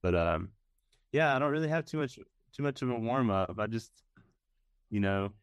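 A man in his thirties, a band's bass player, answering interview questions in an easygoing way. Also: expressive, moderate pace, smooth, dry recording, clean studio sound. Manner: easygoing, lots of "you know" and "like". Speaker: band bassist, guest. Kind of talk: casual interview.

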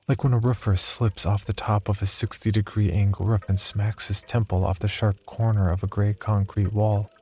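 An actor playing a haunted, unravelling man confessing dark thoughts in a horror audio drama diary, with brooding, measured delivery. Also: fairly low pitch, creaky, a little hiss or hum. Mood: morbid fascination. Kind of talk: horror audio drama monologue, first-person diary narration.

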